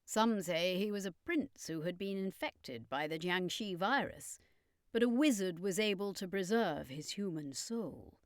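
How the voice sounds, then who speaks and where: A woman's voice: medium pitch, lively intonation, a little slow, no echo, close to an everyday voice. A confident circus master, a man voiced by a woman reader in an audiobook fantasy. He explains things with a commanding, businesslike manner. The recording is dry and close. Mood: assured, businesslike. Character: assured male circus master, leader and storyteller.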